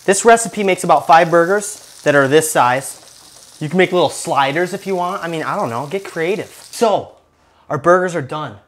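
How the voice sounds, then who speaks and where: Casual male cooking host, 30s, jokey and relaxed, slightly high voice, home kitchen. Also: normal speed. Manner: laid-back, jokey, talks to camera while cooking.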